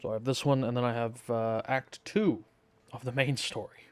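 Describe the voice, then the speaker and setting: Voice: mid-range voice, steady tone, moderate pace, clear, resonant tone, dry recording. A streamer giving casual, offhand live game commentary with sweary asides. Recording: dry voice over game music.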